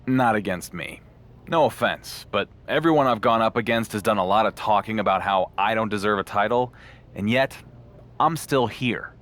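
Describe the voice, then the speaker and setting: An actor playing a confident, sarcastic champion wrestler in a video game, with a dry, dismissive manner. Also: male voice, on the low side, normal speed, fairly bright tone, close to an everyday voice. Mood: cool, confident. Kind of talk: scripted video game cutscene acting.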